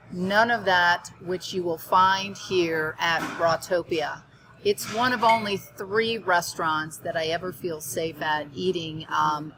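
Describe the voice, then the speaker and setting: Brisk upbeat woman hosting an interview. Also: mid-range voice, a bit bright, a little hiss or hum, poor audio. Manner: brisk and upbeat, steering the conversation. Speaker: podcast host, interviewer. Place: restaurant.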